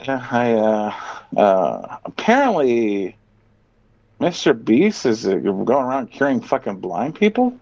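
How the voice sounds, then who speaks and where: Lively, profane man on muffled audio. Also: mid-range voice, slow, creaky. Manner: profane, sardonic, opinionated. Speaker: podcast host. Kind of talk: casual chat, reading out items and reacting.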